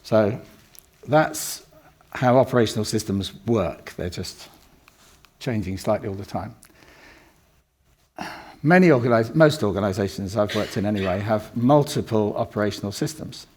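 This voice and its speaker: An older man with a croaky voice from a cold, giving a rambling conference talk full of ums and restarts. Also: fairly low pitch, animated, moderate pace, pauses often, a little mellow. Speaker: conference speaker. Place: conference room.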